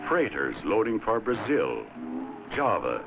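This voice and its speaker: A man reading scripted educational film narration in a measured, dramatic way with deliberate pauses. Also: fairly low pitch, very animated, heavy background noise. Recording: muffled old film soundtrack. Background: plucked guitar music.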